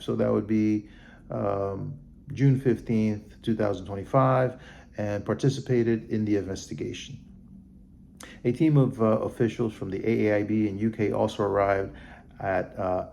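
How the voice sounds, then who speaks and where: Low, flat, gravelly male reader. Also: a little hiss or hum. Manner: halting, stumbling over names and terms. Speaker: vlog host. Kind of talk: reading a report aloud with off-the-cuff comments.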